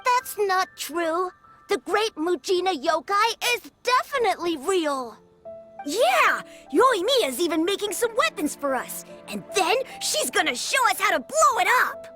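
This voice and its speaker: A stubborn village kid. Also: female voice, extremely high pitch, sing-song, measured pace, sharp tone, faint music behind, exaggerated voice. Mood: insistent. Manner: insistent, childlike. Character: eager stubborn village child.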